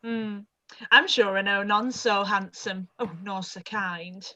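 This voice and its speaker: A woman's voice: medium pitch, steady tone, slow pace. A woman voicing a chatty, old-fashioned housekeeper who is proud of her master, in a live-read radio play. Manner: chatty, warm, old-fashioned servant speech.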